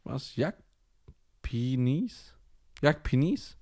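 A man talks casually on a podcast. His voice is mid-pitched but moves expressively. He reacts bluntly, openly disgusted, with quick slangy comebacks. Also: lively intonation, a little mellow. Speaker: podcast co-host. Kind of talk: casual chat.

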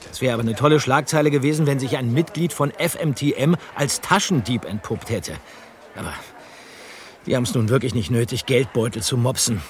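An actor playing an excitable, well-informed teenage boy detective sidekick in an audio drama, eager and exclaiming. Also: mid-range voice, brisk, close to an everyday voice. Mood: excited, chatty. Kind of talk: German audio drama acting.

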